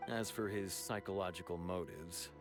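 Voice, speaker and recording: An actor playing an earnest, matter-of-fact police officer in a video game, speaking in a formal, explanatory way. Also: male voice, deep voice, fairly even intonation, moderate pace, over music, close to an everyday voice. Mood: frustrated, explanatory.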